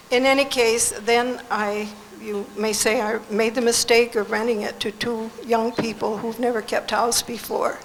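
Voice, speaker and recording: A hoarse woman from the audience, losing her voice, telling a personal story in a halting, rambling way. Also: a little high, level tone, a bit bright, a little hiss or hum, poor audio. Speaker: audience member. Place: conference hall.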